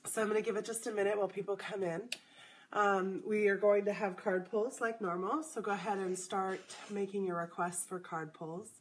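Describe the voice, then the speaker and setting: A woman hosting a casual spiritual livestream, a little congested, talking in a soft, hesitant, slightly nervous way. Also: mid-range voice, steady tone, moderate pace, a little hiss or hum. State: congested, voice deeper than normal. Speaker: livestream host.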